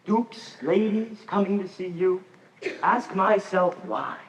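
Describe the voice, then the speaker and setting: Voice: medium pitch, slow, close to an everyday voice. A teenage male student acting on stage as a wheedling, sly showman down on his luck. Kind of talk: high school stage play acting. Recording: distant mic with clear background noise.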